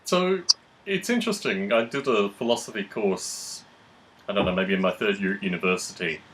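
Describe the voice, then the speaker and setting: Low-voiced, analytical male podcast host. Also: fairly bright tone. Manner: measured, analytical, wordy phrasing. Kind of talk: conversational discussion, framing questions.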